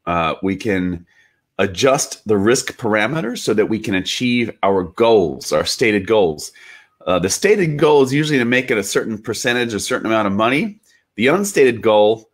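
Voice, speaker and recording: A man getting over a cold, hosting a relaxed instructional talk on trading, explaining step by step with a few fillers and restarts. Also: lowish voice. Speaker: trading educator and channel host. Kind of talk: casual instructional talk to camera.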